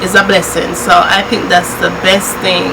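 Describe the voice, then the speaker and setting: A woman's voice: fairly low pitch, flat delivery, moderate pace, creaky. Chatty Jamaican woman, noisy room. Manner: chatty, laughs often, patois phrases. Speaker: cooking channel host. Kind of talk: casual chat.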